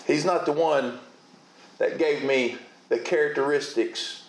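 A man preaching a sermon in a booming voice, folksy and calling out to the congregation. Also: a little slow, reverberant, rough recording. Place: church.

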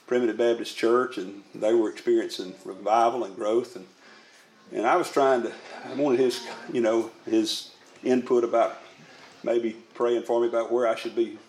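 A man's voice: on the low side, a little mellow, a little hiss or hum. A man preaching to a congregation in a folksy, conversational storytelling way, repeating phrases for emphasis. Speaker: Primitive Baptist preacher and pastor. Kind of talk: sermon to a congregation. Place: indoors, church meeting room. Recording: roomy, echoing meeting-hall sound.

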